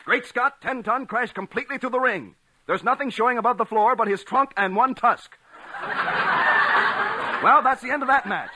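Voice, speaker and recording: A man playing an excitable ringside announcer with an American accent, delivering dramatic commentary in 1940s radio style. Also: very high voice. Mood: excited. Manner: rapid, dramatic, projected delivery. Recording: noisy, clicky old radio broadcast.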